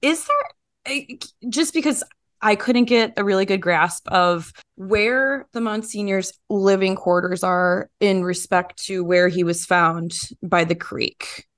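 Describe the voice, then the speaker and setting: A woman's voice: medium pitch, slow, no echo, clean studio sound. A woman hosting a podcast, asking conversational, wordy, inquisitive questions of her guests. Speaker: podcast host, interviewer.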